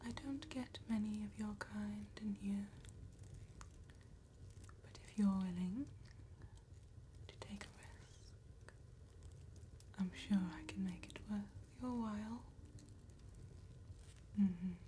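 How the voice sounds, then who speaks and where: A woman playing an ancient, calm and seductive female vampire tattooist in a soft-spoken, whispered ASMR roleplay, with measured, formal phrasing. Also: mid-range voice, a little hiss or hum, close to an everyday voice. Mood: soothing, businesslike. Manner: slow, measured, formal, old-fashioned phrasing.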